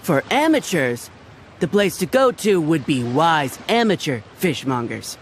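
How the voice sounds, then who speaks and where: An actor playing a proud, fussy fish seller in a video game, with an indignant street-hawker patter. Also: male voice, a little high, very animated, unhurried, a little hiss or hum. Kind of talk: video game cutscene dialogue. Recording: game audio with background music.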